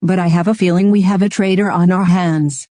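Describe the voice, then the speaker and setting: A woman's voice: on the low side, fairly even intonation, brisk, dark tone, dry recording, studio quality, natural voice. A woman playing a composed, formal lab boss addressing staff in an amateur audio drama. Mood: formal, grave.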